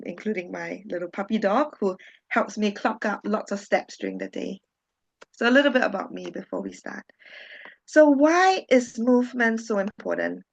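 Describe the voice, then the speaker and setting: Gravelly-voiced woman on a dull call. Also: mid-range voice. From Singapore. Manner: chatty and warm, with frequent ums and small laughs. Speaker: webinar facilitator, social worker. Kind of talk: friendly webinar presentation. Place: home. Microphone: video call. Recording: dull lo-fi video call audio.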